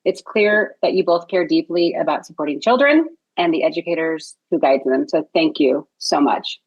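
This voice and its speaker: An enthusiastic woman hosts a conversational podcast interview. Her voice sits on the lower side. She keeps things upbeat and laughs easily with her guests. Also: moderate pace, breathy edge, lo-fi sound. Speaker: podcast host.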